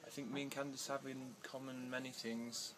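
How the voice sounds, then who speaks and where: A man's voice: mid-range voice, little pitch movement, moderate pace, a bit bright. Hesitant man, noisy background. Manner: hesitant, many fillers and restarts, growing agitated. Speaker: interviewee. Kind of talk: casual interview answers. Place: outdoors in India.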